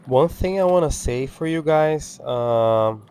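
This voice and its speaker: A man whose first language is Portuguese, speaking English with an accent as he talks over his window cleaning video, halting and full of fillers. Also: fairly high pitch, expressive, a little mellow, clear, resonant tone. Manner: halting, many fillers and restarts. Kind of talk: casual talk-over.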